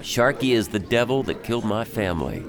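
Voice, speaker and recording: A man's voice: fairly low pitch, a little fast, a crowd in the background, natural voice. A man playing a grave, determined shipowner in a radio drama, with formal, commanding, old-fashioned speech. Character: grave, determined bereaved shipowner seeking revenge.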